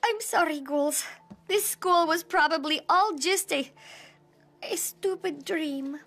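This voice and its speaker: A woman playing a bubbly, dreamy teenage vampire girl in a children's cartoon, with an expressive, exclamatory delivery. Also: very high-pitched, slow, heavily acted. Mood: dejected. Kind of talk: animated children's cartoon acting.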